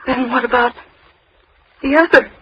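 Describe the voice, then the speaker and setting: A woman's voice: high voice, measured pace, dull audio. An actress playing a tough-talking, frightened young woman held captive in a 1930s radio horror play, blunt and slangy. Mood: frightened pleading. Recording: noisy 1930s radio recording.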